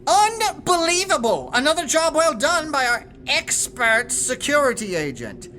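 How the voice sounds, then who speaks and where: A woman's voice: high voice, big pitch swings, measured pace, sharp tone, slight background noise, heavily acted. A woman playing an exasperated, sarcastic staff manager in an audio drama, with a clipped, indignant manner.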